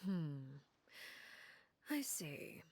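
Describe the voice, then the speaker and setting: A woman voice actor playing a composed, coolly menacing leader of a secretive organization in a video game, speaking in a measured, formal, evasive way. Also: sits low, very animated, a little mellow, slightly rough, heavily acted. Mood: cool, controlled. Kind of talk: scripted video game dialogue. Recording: background music under the voice.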